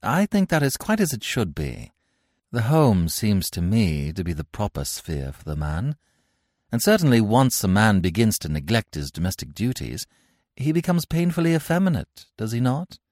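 A man's voice: fairly low pitch, big pitch swings, normal speed, darkish tone, no echo, studio quality, voice pushed up. A man voicing Gwendolen, a haughty young society woman who talks in a stiff, formal, condescending way. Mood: grand. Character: haughty young society lady, polite but cutting.

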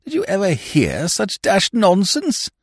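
A man's voice: sits high, wildly swooping pitch, measured pace, slightly rough, no room sound, studio quality, pitched above the performer's own voice, heavily acted. A man voicing a fussy, absent-minded old lord in an audiobook, with a stammering, blurting way of talking. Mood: bewildered, indignant.

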